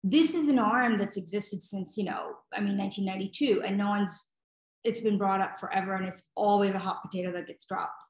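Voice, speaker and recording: A woman's voice: medium pitch, fairly even intonation, fairly quick. A middle-aged woman answering interview questions thoughtfully, searching for words and repeating them as she goes, on a video call. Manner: hesitant phrasing, repeats words while thinking. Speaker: guest speaker, nonprofit director. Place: home room, indoors. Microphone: video call computer mic. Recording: roomy echo on video call.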